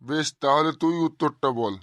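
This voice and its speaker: A man's voice: on the high side, moderate pace, fairly bright tone, no room sound, close to an everyday voice. A man voicing a short-tempered middle-aged male schoolteacher in a comic cartoon, blunt and sarcastic with his pupils. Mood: quizzing. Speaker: cartoon voice actor.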